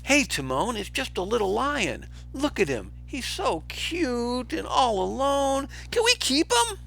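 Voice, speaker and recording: A man's voice: very high-pitched, big pitch swings, unhurried, gravelly, fairly bright tone, pitched above the performer's own voice, full character voice. A man voicing a big-hearted, simple, friendly warthog with a gleeful, naive manner for a storybook. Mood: pleading, excited.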